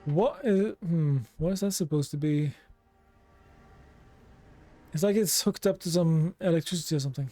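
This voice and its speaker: A man's voice: on the high side, normal speed, pauses often, warm tone, soft background music. A man with a Norwegian accent giving chatty let's play commentary, reacting aloud and talking to his chat. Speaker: streamer.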